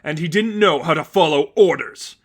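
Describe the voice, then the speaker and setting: A man's voice: on the high side, normal speed, pitched above the performer's own voice. A man voicing a smug, self-righteous masked zealot villain, cold and menacing, in a story reading. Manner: smug, pompous.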